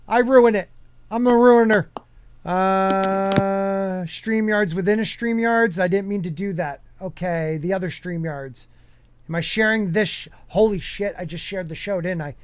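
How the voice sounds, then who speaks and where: A man's voice: high voice, a little slow, clear, resonant tone, no room sound. A man hosting a casual podcast, joking around and reading viewer comments aloud. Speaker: podcast host.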